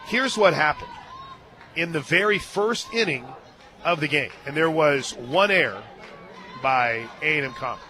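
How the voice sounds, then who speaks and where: A man doing live play-by-play softball commentary for radio from a stadium booth. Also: a little high, expressive, unhurried. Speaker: play-by-play announcer. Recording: crowd noise behind. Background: ballpark crowd and music.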